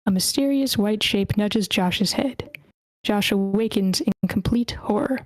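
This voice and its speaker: A woman's voice: mid-range voice, unhurried, darkish tone, no room sound, low-quality recording. A woman hosting an online table read, casually organizing and reading screenplay stage directions aloud. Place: online meeting.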